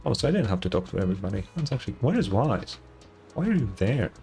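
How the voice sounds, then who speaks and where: A man's voice: lowish voice, sing-song, fast talker, creaky, warm tone, faint music behind. A man giving casual, reactive game playthrough commentary, with some swearing. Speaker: gaming streamer. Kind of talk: game playthrough commentary and read-aloud dialogue.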